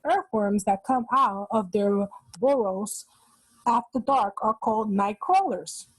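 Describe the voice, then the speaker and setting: A woman's voice: mid-range voice, expressive, slow, slightly rough, dry recording, low-quality recording. A woman reading a children's nonfiction book aloud, explaining as she goes and pointing out the pictures. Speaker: read-aloud host for children.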